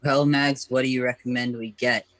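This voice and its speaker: A man's voice: mid-range voice, fairly even intonation, normal speed, a bit bright, no room sound, lightly acted. A man playing a hammy, pun-loving diner customer in an amateur comic radio sketch. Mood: droll, theatrical.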